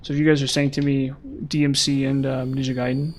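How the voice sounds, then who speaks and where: A young man streaming a game, chatting casually with viewers in a rambling way, his voice slightly hoarse from long talking. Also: medium pitch, flat delivery, brisk. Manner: rambling, lots of "like" and "um". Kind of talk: casual live-stream chat while gaming.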